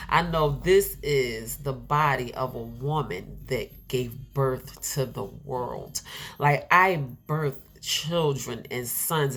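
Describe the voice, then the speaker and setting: Low-pitched woman, nasal congested voice, earnest preacher, middle-aged. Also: fairly bright tone. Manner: earnest, repetitive, with laughs and filler words. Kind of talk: inspirational sermon.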